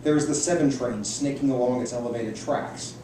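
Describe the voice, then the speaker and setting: A man reads a personal essay to an audience in a medium-pitched voice. The room is strongly echoey and he sounds distant from the microphone. Clear noise sits in the recording. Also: fairly quick. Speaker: reader at a library book reading. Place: indoor room at a public library event.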